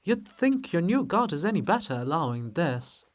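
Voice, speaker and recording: A man as a high-strung, indignant pagan sister. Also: sing-song, normal speed. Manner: exclamatory, indignant. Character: Sister Lybid, quick-tempered ancient pagan woman, proud, grumbling.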